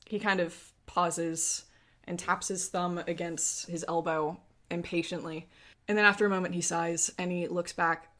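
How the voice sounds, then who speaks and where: A woman's voice: fairly low pitch, fairly even intonation, a little slow, fairly bright tone. A woman acting as game master, narrating and explaining a tabletop fantasy game. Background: faint music.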